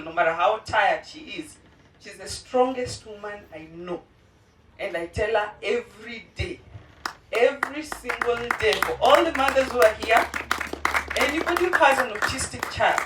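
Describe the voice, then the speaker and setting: A mother of two special-needs children giving a heartfelt personal testimony at a charity launch, earnest and pausing often. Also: mid-range voice, a bit bright, far from the mic, slight background noise. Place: indoor event venue. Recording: roomy hall, voice from off-mic.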